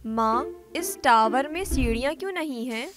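A woman voicing a sweet, wistful, sheltered teenage girl with a soft pleading manner in a children's fairy tale. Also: sits high, expressive, moderate pace, music in the background.

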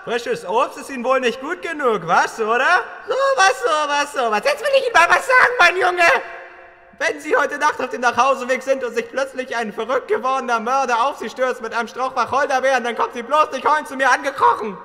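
A man's voice: very high voice, lively intonation, fairly quick, bright, forceful tone, heavily acted. A man playing a pompous, easily enraged military self-defence instructor in a German comedy fan dub, barking and badgering. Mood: outraged. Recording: long hall-like reverb.

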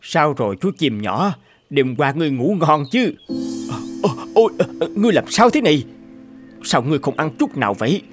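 An emphatic old farmer, music behind. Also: male voice, on the high side, very animated, fairly quick. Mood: puzzled. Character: stern old rice farmer who turns remorseful. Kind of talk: animated folk-tale acting.

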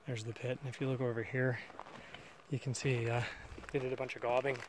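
A man's voice: mid-range voice, little pitch movement, a little fast, noisy background. A man filming and casually narrating a walk through an old mine site outdoors, thinking aloud with fillers on a camera mic. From Canada. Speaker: mine explorer filming a walkthrough.

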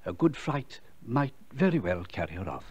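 An actor playing a brisk, discreet doctor in a vintage radio drama, formal and clipped. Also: male voice, lowish voice, sing-song, darkish tone, slightly rough. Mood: professional, confidential. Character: brisk, discreet English heart specialist, professionally reassuring. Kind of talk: 1940s radio drama acting.

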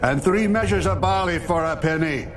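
A man's voice: on the high side, normal speed, lightly acted. A man as a distant proclaiming voice. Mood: stern. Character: a voice from among the four beasts, stern.

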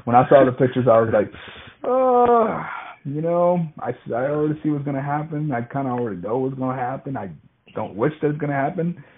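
A man's voice: mid-range voice, animated, a little fast. A man talking casually and at length on a phone call, agreeable and often repeating himself. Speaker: freight broker, former truck driver. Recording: tinny band-limited phone call.

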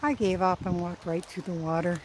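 A woman's voice: on the low side, moderate pace, low-quality recording. A woman in her fifties talking casually to her camera while hiking on her own. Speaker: solo travel vlogger. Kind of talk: casual vlog narration to camera. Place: outdoors on a rural coast and trails, also in a car. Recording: outdoor noise clearly audible behind.

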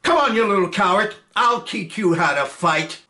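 A man doing a loud cocky young airman. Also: high-pitched, animated, moderate pace, pitched above the performer's own voice, full character voice. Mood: taunting. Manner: loud, boastful, laughing. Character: brash cocky young male airman, bully.

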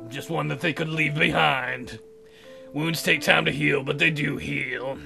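Bright, very creaky higher-pitched man. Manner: joking, teasing asides. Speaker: let's player reading character lines aloud. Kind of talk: playful voice reading of a dating-sim visual novel with joking asides. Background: game music.

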